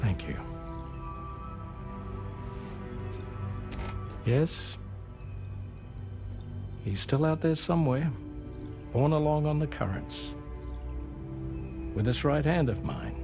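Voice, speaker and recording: A man playing a wistful, middle-aged seafaring father and researcher in a video game, telling his story in a measured, reflective way. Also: fairly high pitch, lively intonation, creaky, music in the background, exaggerated voice. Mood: wistful, solemn. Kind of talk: video game cutscene acting.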